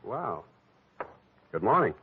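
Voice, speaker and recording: An actor playing a wry, deadpan, hard-boiled private detective in a 1940s radio drama. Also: male voice, lowish voice, slow pace, slight background noise, full character voice. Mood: probing, deadpan. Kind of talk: 1940s radio acting with narration. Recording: tinny old radio recording, phone-like. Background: music.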